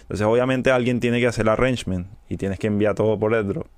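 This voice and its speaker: Man, Venezuelan accent, young adult, low pitch, halting casual talk, dry close mic. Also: darkish tone, dry recording. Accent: Venezuelan Spanish. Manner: laid-back, halting, many restarts, English words mixed in. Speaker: music producer, guest. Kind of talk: casual podcast conversation.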